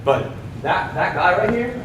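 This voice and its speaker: A man's voice: high-pitched, expressive, measured pace. A young American man giving a casual motivational testimonial to an audience at a sales event, with lots of fillers and "right?" tags. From United States. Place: large event room before an audience. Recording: echoey room with background noise.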